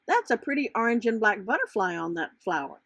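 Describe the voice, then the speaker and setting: A woman voicing an observant, excited little schoolgirl in a children's storybook. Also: on the high side, a little fast, husky, dry recording, full character voice. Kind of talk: children's storybook character reading.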